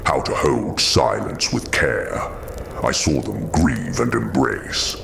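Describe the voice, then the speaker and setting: A haunted giant creature for an audio drama, super low and slow, gentle but weary, noisy background. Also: male voice, big pitch swings, creaky, heavily acted. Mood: sorrowful. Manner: slow, weighted, halting delivery.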